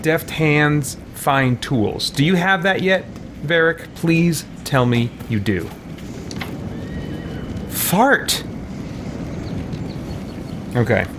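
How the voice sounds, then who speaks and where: A man's voice: fairly high pitch, lively intonation, extremely slow, long pauses. A man giving casual, rambling gameplay commentary with exasperated exclamations. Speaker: let's play host. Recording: noisy background from game audio.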